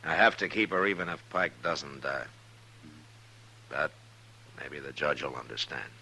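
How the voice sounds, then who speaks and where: An American actor playing a calm, stern frontier marshal in a 1950s radio western, speaking in terse, measured lines. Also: male voice, a bass voice, full character voice. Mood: grave, measured. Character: calm, stern, steady frontier lawman, a man. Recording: noisy, humming old radio recording.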